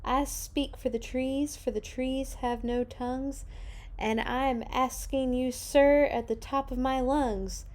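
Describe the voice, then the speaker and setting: A woman puts on a sharp, high-pitched little old forest creature for a children's storybook. He is bossy and indignant, pushing his words out loudly and emphatically. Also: steady tone, slow. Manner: shouting, emphatic. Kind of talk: children's storybook read-aloud.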